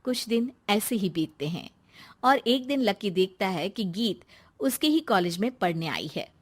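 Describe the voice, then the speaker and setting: A woman's voice: medium pitch, big pitch swings, measured pace, slightly rough. A woman reading a scripted moral story as its narrator.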